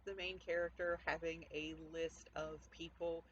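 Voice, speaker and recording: A woman's voice: low voice, little pitch movement, unhurried, a bit bright. A woman author speaking informally as she presents her own fiction from home. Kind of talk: casual introduction to a home story reading. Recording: dull lo-fi audio, noticeable background noise.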